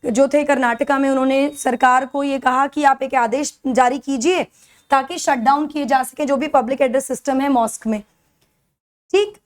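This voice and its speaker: A woman with an Indian accent giving an explanatory lecture as a teacher, in an instructional way, mixing in English and checking that listeners follow. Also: high voice. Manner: instructional, checks understanding with short tag questions. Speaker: educator for civil service exam courses.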